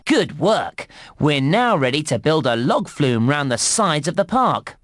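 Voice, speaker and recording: A man's voice: fairly high pitch, no echo, clean studio sound. A man voicing a chirpy, encouraging theme park guide in a children's computer game, with bouncy, exaggerated scripted delivery. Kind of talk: scripted game tutorial narration.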